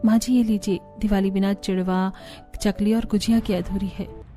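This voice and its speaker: A woman's voice: medium pitch, little pitch movement, normal speed, mellow, soft background music, close to an everyday voice. A woman voicing a cheerful, dutiful young daughter-in-law in a Hindi cartoon story. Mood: reassuring.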